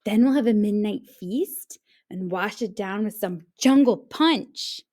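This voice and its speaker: A woman voicing an excitable, grumpy young male ape in a children's storybook, exclaiming and whining indignantly. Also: mid-range voice, measured pace, lightly acted. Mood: eager. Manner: exclaiming, whiny and indignant when crossed. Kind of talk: children's storybook read-aloud.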